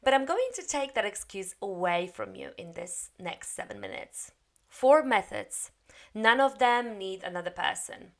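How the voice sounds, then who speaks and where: A woman's voice: medium pitch, very animated, unhurried. Woman coaching English learners. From Poland. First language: Polish. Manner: encouraging, direct, practical. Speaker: English coach and TEFL teacher. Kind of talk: spoken lesson to camera.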